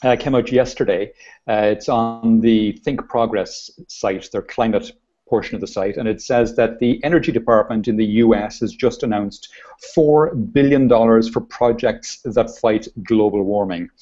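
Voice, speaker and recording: A man hosting a casual video call show, talking in an easygoing, halting way with many restarts. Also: lowish voice, mellow. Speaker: host. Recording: dull lo-fi video call audio.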